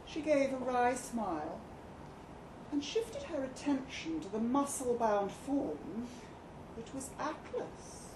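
Woman, live story reading, theatrical and deliberate, slow pace, roomy sound, faint background noise. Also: on the high side. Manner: expressive, dramatic, deliberate. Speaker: story reader at a live event. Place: live reading event in a London pub.

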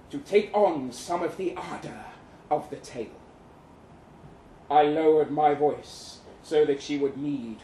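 An actor playing a boastful, lecherous Russian soldier in a florid, theatrical live story reading, savouring each phrase. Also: male voice, on the high side, a little mellow. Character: boastful, lecherous crippled Russian soldier advising lovelorn friend. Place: indoor live reading event in London venue. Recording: roomy live venue, background noise.

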